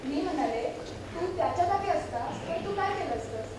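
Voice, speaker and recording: A woman's voice: very high voice, expressive, normal speed, background noise. A woman acting a feisty, candid young woman in an amateur stage play, speaking in a conversational but projected way. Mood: hesitant, tender. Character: feisty, candid young woman confessing love to a male friend. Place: stage of a one-act play. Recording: very echoey theatre hall, distant mic, music.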